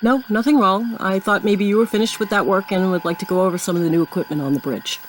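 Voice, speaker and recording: A woman's voice: mid-range voice, fast talker, slight background noise, lightly acted, low-quality recording. A woman playing a confident, newly appointed starship captain in a science fiction audio drama, composed and authoritative. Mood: brisk, commanding.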